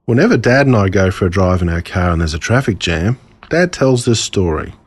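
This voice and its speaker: A man with an Australian accent reading a scripted storybook in an expressive storytelling manner. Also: low voice, fairly quick, husky, no room sound. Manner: expressive, storytelling in a child narrator's words. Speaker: author reading his own story.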